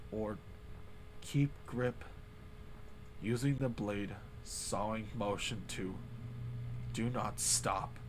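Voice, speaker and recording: A man reading as a grim, hushed journal author. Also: on the low side, a little hiss or hum, voice pushed down, poor audio. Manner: hushed, slow and ominous.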